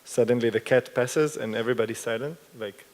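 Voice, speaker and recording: A man's voice: medium pitch, steady tone, a little fast. Man explaining a scene in a reverberant hall. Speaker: performer in post-show talk. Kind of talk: post-performance discussion.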